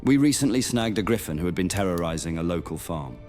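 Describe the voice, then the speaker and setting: A man playing a level-headed medieval knight who guides new recruits in a fantasy audio roleplay, measured and explanatory. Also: low-pitched, animated, a little mellow, soft background music. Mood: measured, cautioning.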